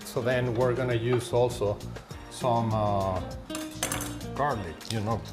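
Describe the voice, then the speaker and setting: A man from Mexico City with a Mexican Spanish accent, a guest demonstrating a recipe in a kitchen and talking haltingly with many pauses and fillers. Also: medium pitch, steady tone. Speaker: guest cook. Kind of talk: cooking demonstration. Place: home kitchen. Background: background music and sizzling pan.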